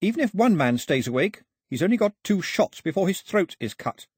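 Audiobook heroine, played by a man: quick-witted young woman, high, breathy, pleading yet defiant, very lively intonation. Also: dry recording, studio quality, heavily acted. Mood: bold bargaining. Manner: urgent, articulate, pleading.

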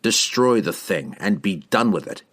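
A man's voice: deep voice, expressive, normal speed, fairly bright tone. A man voicing an impatient, stern knight who talks curtly. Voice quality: curt, snapping.

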